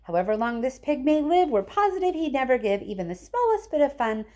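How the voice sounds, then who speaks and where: Woman as tiny mocking chanters, sing-song and lively. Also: sits high, fairly quick, pitched above the performer's own voice. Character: chorus of tiny, mocking, moralizing factory workers.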